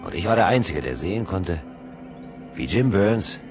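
An actor playing the first-person narrator of a science fiction radio play, in measured, deliberate scripted narration. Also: male voice, deep voice, very animated. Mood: measured, intent. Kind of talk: scripted narration, 1970s German radio drama. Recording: electronic music under the voice. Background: electronic music.

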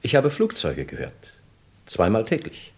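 An earnest man freed from kidnappers in a radio drama. His voice is very deep and rough-edged, with lively swings. He recalls things hesitantly. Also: unhurried, heavily acted. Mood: hesitant, recollecting. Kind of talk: German radio crime drama acting.

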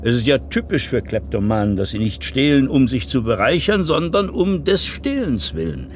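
An actor playing a shrewd, sardonic police inspector in a German radio crime play, probing and lecturing with drawn-out emphasis. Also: male voice, mid-range voice, sing-song, close to an everyday voice. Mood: knowing, lecturing. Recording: vintage radio play, clearly noisy.

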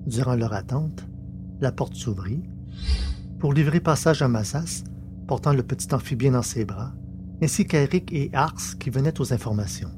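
A man's voice: mid-range voice, lively intonation, normal speed, music in the background. A man reading scripted literary science fiction narration as the storyteller. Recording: clearly noisy background with hum.

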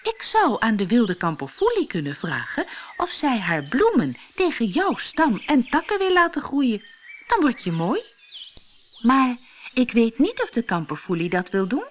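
A woman's voice: a little high, wildly swooping pitch, a little slow, slight background noise, pitched above the performer's own voice. A woman voicing a sensible, exasperated female hedge in a storybook, with an emphatic manner. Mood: sensible, coaxing. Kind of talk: fairy-tale storybook voice.

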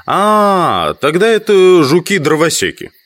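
An actor playing an inquisitive, sceptical insect collector from the city in an audio drama. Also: male voice, sits high, very animated, measured pace, fairly bright tone, dry recording, exaggerated voice. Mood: insistent, sceptical. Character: male amateur entomologist, inquisitive, sceptical, politely insistent city visitor. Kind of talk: Russian audio drama, dialogue and inner monologue.

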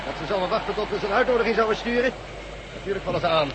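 A man's voice: racing, lo-fi sound, over a noisy crowd, lightly acted. A man playing a commanding, sardonic resistance chief in a science fiction radio drama. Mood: dry, tense. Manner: sardonic, barking orders. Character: commanding male resistance chief, dry and decisive. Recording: noisy radio play recording with vehicle rumble.

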